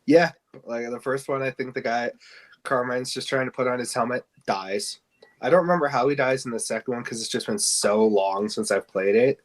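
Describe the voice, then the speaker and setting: A man's voice: mid-range voice, moderate pace. A man co-hosting a podcast in casual chat, often hesitating as he searches for words. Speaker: podcast co-host. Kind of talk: casual podcast chat about fan casting.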